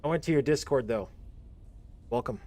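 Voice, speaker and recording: A man's voice: medium pitch, moderate pace, a little mellow. A man streaming live, chatting casually in a laid-back, rambling way with slang, his voice a little worn. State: voice getting worn. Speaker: streamer reacting to a game presentation. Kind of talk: casual livestream chat.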